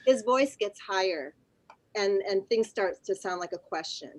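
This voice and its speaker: An American-born woman with roots chatting on a video call, laughing often and pausing with many ums. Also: mid-range voice, expressive. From United States. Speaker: guest. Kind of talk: casual conversation on a video call.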